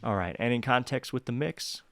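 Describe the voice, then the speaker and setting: A man's voice: medium pitch, fairly quick, creaky. A man hosting a music production tutorial, talking casually and directly to viewers in an upbeat, chatty way.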